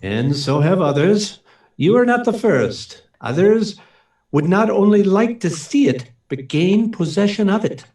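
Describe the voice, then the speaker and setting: A man's voice: high-pitched, very animated, measured pace, darkish tone. A man acting an earnest, principled scientist-inventor in an old-time radio play, speaking in a formal, emphatic, theatrical way. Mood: grave, troubled.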